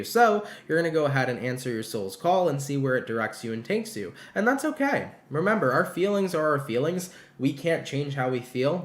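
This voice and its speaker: Stuffy-nosed man reading tarot, roomy sound. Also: medium pitch. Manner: chatty and encouraging, calls viewers "baby". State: stuffy nose from allergies. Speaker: tarot reader. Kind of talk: casual tarot reading to viewers.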